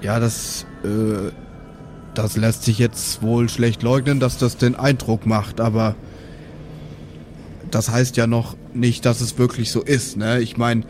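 A man's voice: medium pitch, level tone, slow pace, a little mellow, a little hiss or hum, close to an everyday voice. A man voicing an evasive, cheeky dwarf who rambles hesitantly in an improvised audio role-play. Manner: hesitant, waffling.

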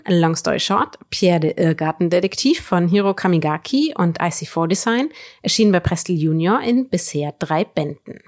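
A woman with a slightly low voice hosts a book recommendation show. She talks enthusiastically, as someone presenting tips to listeners. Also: darkish tone. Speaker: podcast host reviewing books.

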